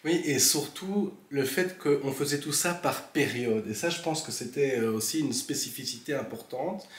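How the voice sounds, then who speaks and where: A man joining a relaxed conversation, speaking in a measured way about a school he went to. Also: mid-range voice. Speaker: former Steiner school pupil. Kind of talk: casual conversation. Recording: echoey room.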